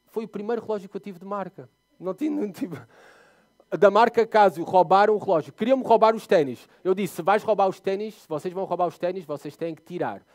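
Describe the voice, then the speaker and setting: A young man with a European Portuguese accent preaches in a high, animated voice. He swings between loud, emphatic lines and soft, low asides as he tells a story. The sound is dry and close to the mic. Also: dry recording. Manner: animated storyteller, voice rising and dropping sharply for emphasis. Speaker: pastor. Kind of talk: sermon with personal storytelling.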